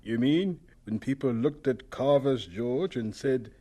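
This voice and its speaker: An actor playing a mild professor who recalls the past reflectively in a radio drama. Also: male voice, medium pitch, measured pace, warm tone, natural voice. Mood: wry.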